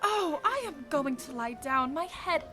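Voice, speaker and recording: High-pitched, sharp-tongued sailor's wife. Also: female voice, expressive, a little slow, music in the background. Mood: weary. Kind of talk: comic radio drama acting. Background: musical score.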